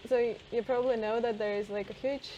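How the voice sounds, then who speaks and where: A woman's voice: on the high side, steady tone, fast talker, warm tone, dull audio, a little hiss or hum. A woman who grew up in Siberia, a native speaker of Russian and Armenian, chatting casually in a hesitant way with many fillers and restarts. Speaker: co-host. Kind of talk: casual conversation.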